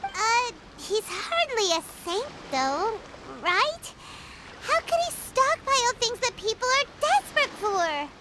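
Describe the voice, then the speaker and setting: A woman voicing a small, chatty and excitable female fairy companion in a video game, with a high childlike voice. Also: takes it slowly, background noise, full character voice. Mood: earnest. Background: game music.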